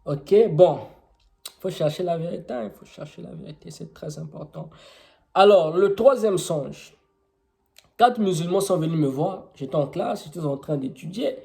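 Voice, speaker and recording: Young Congolese man, French with Congolese accent, Kituba native speaker, warm voice, informal teaching with rhetorical questions. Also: fairly high pitch, animated, moderate pace, creaky. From Congo-Brazzaville. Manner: explanatory, with rhetorical questions and many fillers.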